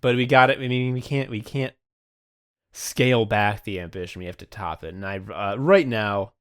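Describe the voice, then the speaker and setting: Male podcast co-host, lower-pitched, loose conversational delivery, freely profane. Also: moderate pace, fairly bright tone, clean tone. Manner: frequent casual swearing. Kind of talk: casual behind-the-scenes podcast chat.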